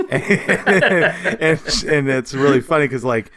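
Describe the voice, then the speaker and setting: A man's voice: on the high side, animated, takes it slowly, a bit bright, dry recording. A man in his thirties co-hosting a casual podcast, talking like an enthusiastic fan. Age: 30s. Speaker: podcast co-host.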